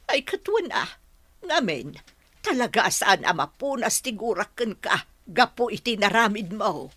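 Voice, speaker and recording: A woman playing a bitterly disappointed, reproachful character in a radio drama, raspy and bright, with music behind her. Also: mid-range voice, very animated, unhurried, no room sound, exaggerated voice. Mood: aggrieved. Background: background music.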